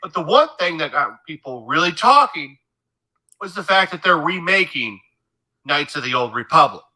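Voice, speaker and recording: A man giving scripted gaming news commentary in a steady way, congested from a cold. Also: fairly high pitch, normal speed, rough recording.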